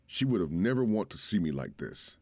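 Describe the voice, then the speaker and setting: Guy reading ashamed young soldier son, deep voice, halting and sorry. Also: big pitch swings, gravelly, a little mellow, dry recording, full character voice. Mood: ashamed, sorrowful. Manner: remorseful, halting.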